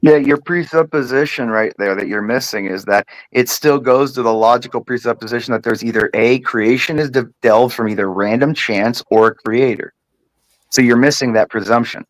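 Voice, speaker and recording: A man's voice: mid-range voice, normal speed, dry recording, low-quality recording. A man calling into a panel show, debating in a condescending, lecturing way. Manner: condescending, keeps repeating "ma'am" and "sir". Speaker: caller on a panel. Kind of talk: heated debate.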